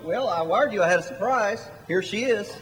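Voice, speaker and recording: An actor playing an affable, sheepish young brother in a radio drama, earnest in tone. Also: male voice, sits high, lively intonation, moderate pace, a bit bright, faint music behind. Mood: cheerful. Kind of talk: stage-style radio drama acting. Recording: hall reverb.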